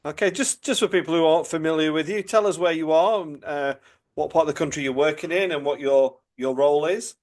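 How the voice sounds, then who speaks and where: A man's voice: a little high, fairly quick. A man, a priest hosting a podcast, who asks his guest questions in a friendly, inviting way. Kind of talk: interview hosting.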